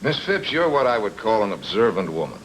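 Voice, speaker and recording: A man playing a wisecracking, deadpan private detective in a film noir radio drama. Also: lowish voice, a little fast. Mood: dry, sardonic. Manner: deadpan, sardonic. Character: wisecracking hard-boiled male private detective. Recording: noisy vintage radio recording.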